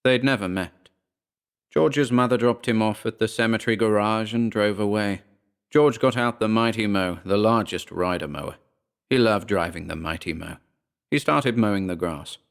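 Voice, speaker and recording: Low-voiced male horror story narrator. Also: normal speed, creaky, smooth.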